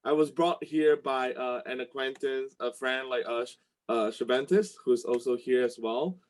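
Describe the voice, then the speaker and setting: A man's voice: mid-range voice, normal speed. A man from Malaysia speaking casually and hesitantly in a friendly way on an online group call. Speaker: IT business volunteer. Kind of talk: casual self-introduction.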